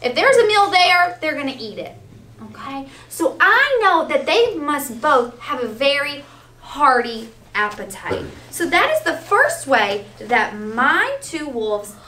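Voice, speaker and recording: A woman with a high, bright voice leads a read-aloud lesson for young children. She is animated, checking in often with questions. The recording is roomy, picked up from a distance. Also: takes it slowly. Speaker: elementary school teacher. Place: school classroom, children seated on the floor. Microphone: distant camera. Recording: roomy, distant, faint classroom noise.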